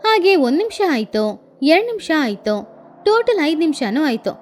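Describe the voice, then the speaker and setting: A woman narrating a children's cartoon story in an expressive storytelling manner. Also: high-pitched, moderate pace, slight background noise.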